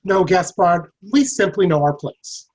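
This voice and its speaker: A man playing a loyal, devout medieval French soldier in a play reading, earnest and formal with a husky voice. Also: high-pitched, animated, a little slow, a little mellow. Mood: wary caution. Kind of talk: stage play table read.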